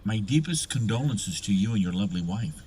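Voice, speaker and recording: A man's voice: lowish voice, lively intonation, fairly quick, a little mellow, a little hiss or hum, close to an everyday voice. A man playing a nosy, overly polite neighbor with a prying manner in a horror audio drama. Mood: solicitous. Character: Walter, nosy, chatty male neighbor, falsely sympathetic.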